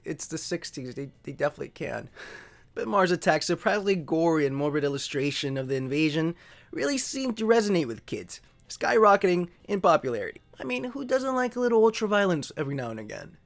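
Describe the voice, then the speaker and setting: A man hosting an informal video introduction, wry, joking and self-deprecating. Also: high voice. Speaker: host.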